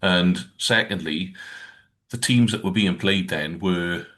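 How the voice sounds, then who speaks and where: A man's voice: low-pitched, takes it slowly, a bit bright. A man co-hosting a podcast in casual rugby chat, rambling and hesitant. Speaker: podcast co-host.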